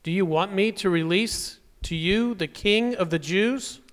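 A Roman governor with a high voice that carries as if addressing a crowd. He declaims insistently, commanding yet exasperated, in the manner of audio scripture drama. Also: lively intonation, unhurried, a bit bright. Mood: proclaiming.

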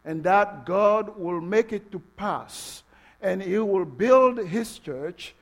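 French-accented preacher, gravelly and animated. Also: male voice, sits high. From Togo. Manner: halting, many uh fillers, rising to passionate emphasis. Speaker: pastor. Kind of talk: sermon. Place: church sanctuary.

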